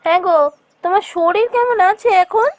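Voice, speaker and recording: Village housemaid and wife for a cartoon, acted by a woman: high, caring, fretful, deferential. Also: moderate pace, dry recording. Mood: worried, caring. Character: caring, worried village wife working as a maid. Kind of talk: cartoon voice acting.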